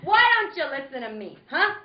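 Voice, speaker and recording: A woman playing a gruff, sarcastic policeman who badgers and mocks in an old-time radio detective play. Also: high-pitched, very animated, a little fast. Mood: angry, badgering. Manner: interrogating, mocking, punctuating with "huh". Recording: roomy, dull, faintly noisy live recording.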